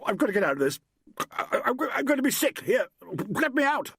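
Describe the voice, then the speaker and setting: Man playing a choking, panicked man, raised pitch. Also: big pitch swings, fast, a bit bright, breathy edge, no room sound, clean studio sound, heavily acted. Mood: sick panic. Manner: choked, stammering.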